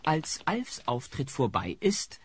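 A man's voice: medium pitch, huge pitch swings, slow pace. A man narrating a scripted audio drama as its storyteller. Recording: faintly noisy cassette recording.

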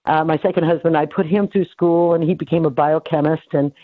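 A woman's voice: low-pitched, fairly even intonation, fairly quick, no room sound. An older woman in her seventies from New York City tells her life story in a rambling way, full of fillers and restarts. She is on a headset in a home office on a video call. The sound is thin, phone-like and dry. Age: 70s. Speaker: guest. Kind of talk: casual interview, life story.

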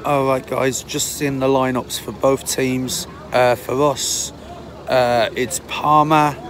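A man, hoarse from a sore throat, chatting through a football match-day vlog to his phone outdoors with lots of fillers. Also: mid-range voice, flat delivery, takes it slowly, fairly bright tone, slight background noise. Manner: chatty, rambling with many fillers. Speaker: football fan vlogger. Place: outdoors on a city street on a cold day. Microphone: phone held at arm's length.

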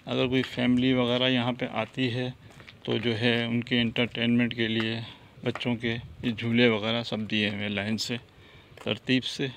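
A man walking through a farmhouse with his phone camera, pointing things out in a relaxed, plain way. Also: medium pitch, vocal fry. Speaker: vlogger giving a property tour. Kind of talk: casual walkthrough narration. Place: outdoors and indoors at a farmhouse. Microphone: phone camera held by the speaker.

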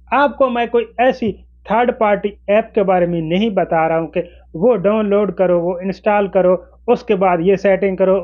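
A man's voice: high voice, highs cut off. A man in his forties explaining phone settings step by step to camera in an instructional manner. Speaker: tech tutorial presenter. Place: small indoor room with desk.

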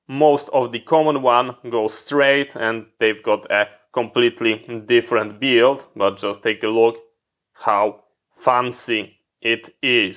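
A man talks through an electronics repair at his workbench, pausing between phrases as he points things out. His voice sits at an ordinary middle pitch and his pace is unhurried. His phrasing is slightly non-native, patient and explanatory. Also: a bit bright. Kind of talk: hands-on repair tutorial.

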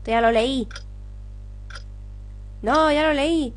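A woman's voice: high-pitched, very slow. A young woman hosting a gaming video, reading game dialogue aloud. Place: indoor room at home. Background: game music.

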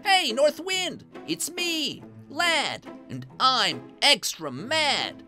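A woman's voice: high voice, sing-song, drawn-out words, sharp tone, faint music behind, voice pushed up, exaggerated voice. A woman voicing a hot-headed, naive young boy in a children's folktale, exclaiming in an eager, whiny way. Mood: very mad.

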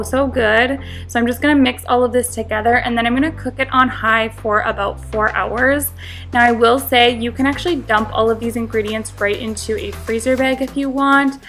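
A woman's voice: a little high, fairly bright tone. A young woman giving a friendly, instructive voice-over for a home cooking tutorial. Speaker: cooking vlogger.

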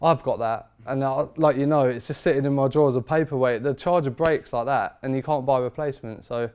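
A man's voice: mid-range voice, a little fast, darkish tone, slight background noise. A man, a barber chatting enthusiastically about trimmers in a barber shop. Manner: enthusiastic, emphatic, informal. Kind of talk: product review chat.

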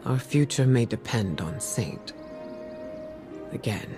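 An actress playing a composed middle-aged commander in a video game, measured and serious. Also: female voice, very deep voice, lively intonation, exaggerated voice. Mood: grave, guarded. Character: grave, composed female commander confiding a risky plan. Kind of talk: video game story dialogue. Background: music.

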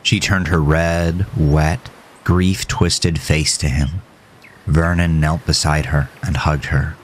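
A man narrating a scripted horror story in a measured, solemn way. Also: a bass voice, steady tone, a little hiss or hum, poor audio.

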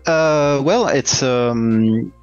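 A man's voice: medium pitch, very slow, clear, resonant tone, muffled recording, faint music behind. A Belgian-born man in his forties, head of a game studio, talking hesitantly with many fillers over a video call on a headset.

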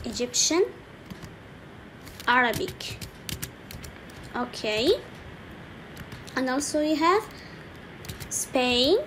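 A woman teaching an English lesson slowly, repeating each word and saying "okay" often, with keyboard typing faintly behind her. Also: sits high, lively intonation, a bit bright, a little hiss or hum, low-quality recording. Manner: slow, repetitive, saying each word twice with frequent "okay". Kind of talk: slow screen-recorded language lesson.

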